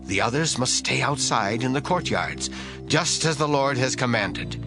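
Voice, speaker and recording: An actor playing a stern, resolute priest giving orders in a declamatory audio Bible drama style. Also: male voice, medium pitch, animated, unhurried. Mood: solemn, instructing. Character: commanding priest rallying his men, stern and resolute. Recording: music bed behind voice.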